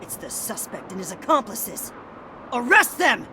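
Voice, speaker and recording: An actress playing a stern guard in a video game, giving formal orders. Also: female voice, a little high, big pitch swings, unhurried, pushed and bright, slight background noise, exaggerated voice. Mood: accusing.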